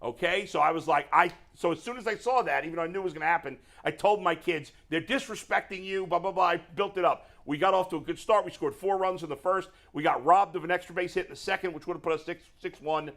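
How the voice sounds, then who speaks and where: Deep-voiced New York man ranting. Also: quick pace, hardly any pauses. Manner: animated, exasperated ranting. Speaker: sports radio co-host. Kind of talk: casual ranting chat.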